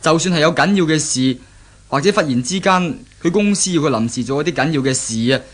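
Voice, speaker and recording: A man's voice: on the high side, expressive, brisk. A man acting in a 1970s Cantonese radio drama as an earnest, worried young fiancé with a Hong Kong accent. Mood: worried, urgent. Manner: earnest, fretful.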